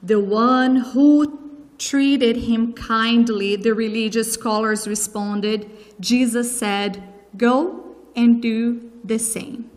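Slow Brazilian-accented woman preaching. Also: fairly high pitch, steady tone, long reverb tail. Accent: Brazilian Portuguese. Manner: earnest, with pauses and fillers. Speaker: guest preacher. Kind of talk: sermon.

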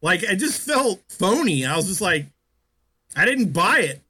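A man co-hosting a film review chat, animated and jokey. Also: high-pitched, a little slow, breathy edge. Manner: animated, jokey, acts out scenes in mock voices. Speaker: podcast co-host reviewing a film. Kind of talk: casual chat.

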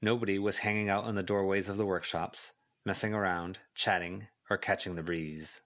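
A man's voice: sits low, fairly even intonation, moderate pace, fairly bright tone. A man reading scripted fiction as a volunteer audiobook narrator.

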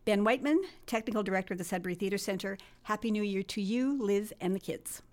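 A woman's voice: medium pitch, fast talker, a little mellow. A woman hosting a radio interview, playful and teasing, joking with her guest. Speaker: radio host.

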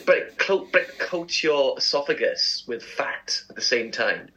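A man's voice: medium pitch, sing-song, unhurried, sharp tone, breathy edge, dull audio. A man co-hosting a casual radio chat, chatty and enthusiastic. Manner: chatty, enthusiastic food talk. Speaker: radio show co-host.

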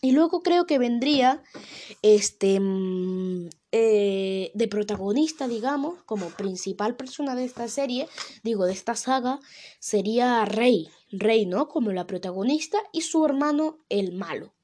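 Rambling Venezuelan woman, mellow voice. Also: a little high, lively intonation, unhurried. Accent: Venezuelan Spanish. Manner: rambling, many fillers and self-corrections. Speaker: vlogger. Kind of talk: casual solo talk to camera.

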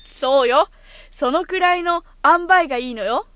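A woman playing a brisk, strict but encouraging schoolteacher of samurai pupils, instructing emphatically. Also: high-pitched, fairly bright tone, no room sound.

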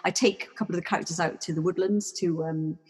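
A woman guest chatting casually, rambling with many restarts and fillers, her voice often trailing off softly. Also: fairly low pitch, steady tone, quick pace, long reverb tail. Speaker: guest, textile artist. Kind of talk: casual chat.

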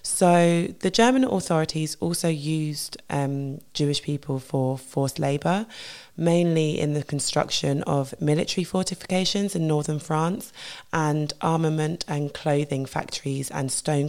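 A woman with a low, husky voice hosts her podcast in a loose, chatty way. She fills pauses with "um" and stops to fix her slips as she talks through a grave subject. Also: a little mellow. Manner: chatty with fillers and self-corrections. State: husky voice from a tiring weekend. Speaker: podcast host. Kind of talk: casual educational podcast talk.

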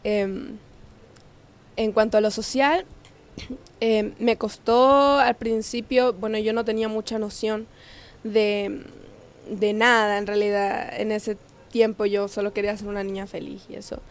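A woman's voice: medium pitch, level tone, unhurried. A young woman from Ecuador, a guest in a casual interview, talking hesitantly with many fillers and easy laughs. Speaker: guest, singer.

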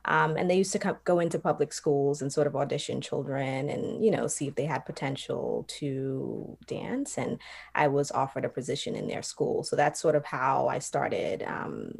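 A young woman from Trinidad talks about her path into dance, her voice low and gravelly with vocal fry. She answers reflectively, with frequent fillers and pauses. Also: lively intonation, darkish tone. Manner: reflective, many ums and you-knows. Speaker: professional dancer, guest. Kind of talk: conversational interview answers.

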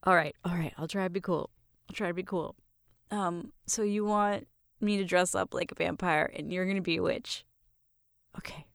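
A woman's voice: lowish voice, fairly even intonation, moderate pace, gravelly, dry recording, studio quality. A woman playing a shy, smitten young roommate in an intimate roleplay, nervous and stammering as she talks to the listener. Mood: bashful, nervous. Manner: nervous, stammering, giggly, self-interrupting.

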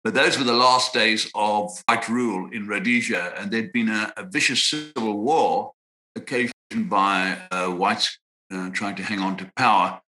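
A man in his sixties from Zimbabwe, a psychiatrist answering interview questions in a measured, reflective way with frequent pauses and restarts. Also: lowish voice, bright, forceful tone, poor audio. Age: 60s. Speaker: guest psychiatrist.

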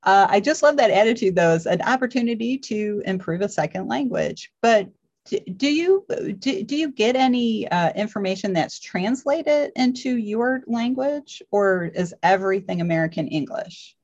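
A woman interviewer asks fluent questions and responds in a friendly, articulate way. Her voice has a middle pitch. The recording is a little dull and muffled. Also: lively intonation, moderate pace, a little mellow. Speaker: interviewer host. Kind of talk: conversational interview. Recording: slightly dull sound.

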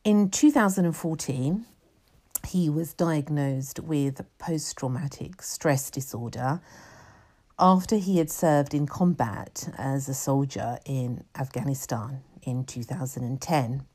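Low, mellow-voiced woman host. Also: slow pace. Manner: formal, introduces the guest. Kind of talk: interview hosting.

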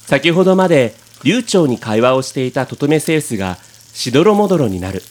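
A man's voice: medium pitch, big pitch swings, a little fast. A man reading scripted narration for a comic radio drama.

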